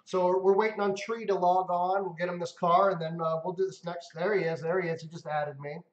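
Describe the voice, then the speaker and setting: A man's voice: high-pitched, fairly even intonation, fairly quick. A man hosting a casual livestream, talking upbeat to his viewers. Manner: upbeat, talks to viewers, gives away cars. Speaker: livestream host. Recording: roomy, dull lo-fi sound.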